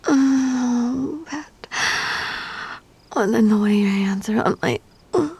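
A woman speaking in a breathy whisper broken by moans and gasps, in an intimate erotic roleplay addressed to a partner. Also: a little high, slow pace, warm tone.

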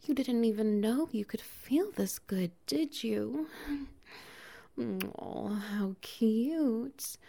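A woman voicing a doting, dominant female bear-woman, whispering softly and teasing with breathy laughs in an intimate audio roleplay. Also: fairly high pitch, expressive, very slow, full character voice. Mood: sultry, doting.

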